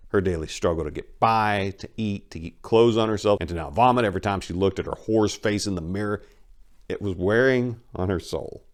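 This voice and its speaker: A man giving a dramatic scripted reading of a crime short story as its narrator. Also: low-pitched.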